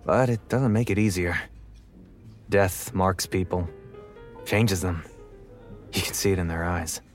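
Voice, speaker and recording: A man's voice: lowish voice, natural voice. Man playing a suave, world-weary American cop over music. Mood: somber. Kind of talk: scripted noir audio drama acting.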